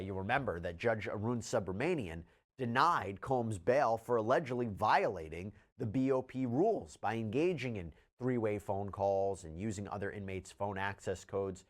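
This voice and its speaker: A man presenting scripted legal news in an articulate, explanatory way, with emphatic delivery. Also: on the low side. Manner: articulate, emphatic when quoting documents.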